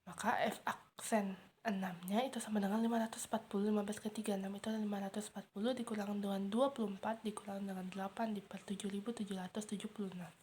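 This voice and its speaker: A teenage girl talks through algebra steps at a steady, methodical pace, her voice soft and often half-whispered. Her pitch sits at an ordinary middle height. A faint hiss of noise runs underneath. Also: quick pace. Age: teens. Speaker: student explaining homework. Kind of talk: math walkthrough.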